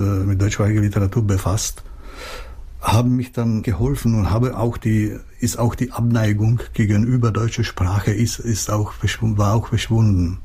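Middle-aged Czech-born man, Czech accent, dark mellow voice, halting interview answers. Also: lowish voice, husky. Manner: hesitant, many fillers and restarts. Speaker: writer, interviewee.

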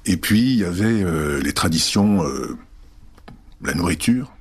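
A middle-aged man with a very low, husky voice, a native Czech speaker talking in a halting way. He reflects slowly, pausing often and hesitating between thoughts as he answers in an interview. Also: lively intonation. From France. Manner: halting, many pauses and hesitations. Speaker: guest.

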